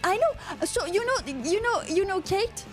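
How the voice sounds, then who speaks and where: A woman's voice: very high-pitched, a little slow, over music. A woman from Quebec with a Quebecois accent, co-hosting a casual live podcast, rambling and joking as she reads out chat comments. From Quebec, Canada. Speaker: podcast co-host.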